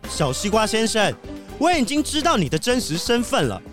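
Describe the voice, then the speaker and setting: An earnest wolfdog police chief , acted by a woman, in a children's audio drama. The voice is highish and swings dramatically, emphatic and scolding, with clear music behind it. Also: very animated, normal speed. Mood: stern scolding.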